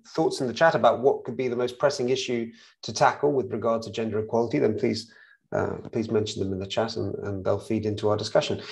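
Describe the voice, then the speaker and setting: Man, 40s, panel moderator. Mid-pitched with a strong creak. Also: a little mellow. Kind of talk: hosting a panel discussion. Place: indoor room at home, video call. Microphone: headset on a video call.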